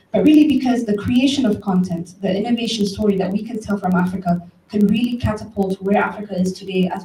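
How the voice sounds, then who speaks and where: A woman's soft-edged, mellow voice comes from a little distance in a reverberant room. She is from Ethiopia and speaks as a presenter at an event, informally and conversationally. She tells anecdotes and turns to her listeners directly, over a slight background noise. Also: medium pitch. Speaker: conference speaker, tech company director. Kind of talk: informal public talk with storytelling. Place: event room, before an audience. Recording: roomy, distant mic, faint background noise.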